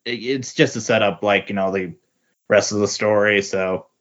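Male, lowish pitch, casual, halting and hesitant, dull lo-fi sound. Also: steady tone, a bit bright. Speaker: podcast host. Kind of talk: casual chat. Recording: muffled lo-fi audio.

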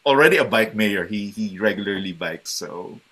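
Bright-voiced man from the Philippines. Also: on the low side, animated, moderate pace. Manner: hesitant, many fillers and restarts, laughs often. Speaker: guest. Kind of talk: casual interview chat.

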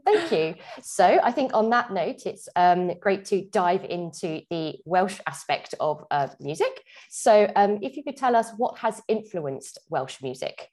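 A woman's voice: fairly low pitch, very animated, unhurried, few pauses, darkish tone. A woman hosting an interview podcast, presenting introductions and putting questions to a guest. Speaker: podcast host.

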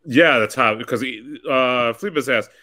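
A man's voice: mid-range voice, moderate pace, sharp tone. A man co-hosting a casual conversation, asking questions and thinking aloud as he talks.

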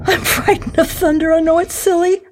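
A woman acting in an audio drama as a frail, fearful elderly widow, speaking in a hesitant, gentle, wistful way. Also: extremely high pitch, steady tone, normal speed, noisy background. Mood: frightened.

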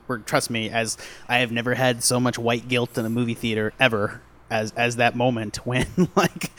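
A man co-hosting a casual film review podcast from home, thinking aloud with frequent restarts and trailing off. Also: medium pitch. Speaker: podcast co-host.

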